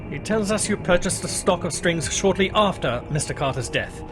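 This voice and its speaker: Man playing a shrewd police inspector, animated pitch. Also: music in the background. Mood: polite probing. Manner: measured, formal, insinuating. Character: shrewd, coolly polite male British police inspector. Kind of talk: old-time radio drama acting.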